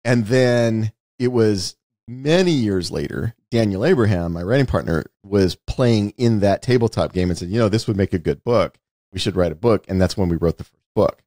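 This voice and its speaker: A man's voice: lowish voice, normal speed, warm tone, no echo. A middle-aged American man hosting a casual podcast, talking in a rambling, dry, casually sweary way. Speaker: podcast host; screenwriter and author.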